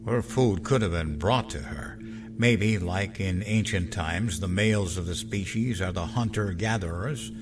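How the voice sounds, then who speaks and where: An actor playing a thoughtful, humane ship's doctor who reasons and questions in a science fiction audio drama. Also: male voice, deep voice, unhurried, over music. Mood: speculating.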